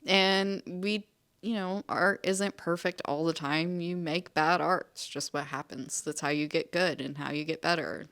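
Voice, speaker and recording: A woman's voice: deep voice, fairly even intonation, measured pace, fairly bright tone, no room sound. A young woman artist chatting casually in a vlog, a little nasally from a cold, with frequent ums and likes. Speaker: artist vlogger.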